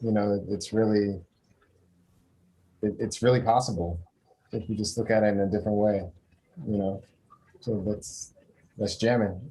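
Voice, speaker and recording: A man who talks in an easygoing, laid-back way. His voice is low and mellow, and he keeps an even, flat delivery. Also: normal speed, long pauses, rough recording. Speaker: conversation circle participant. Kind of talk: casual reflective sharing.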